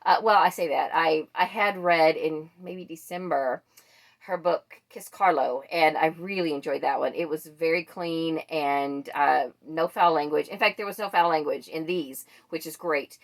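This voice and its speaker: A woman's voice: low-pitched, normal speed. A woman book vlogger chatting casually and thinking aloud as she goes. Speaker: book vlogger presenting a monthly reading wrap-up. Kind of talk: casual chat to camera.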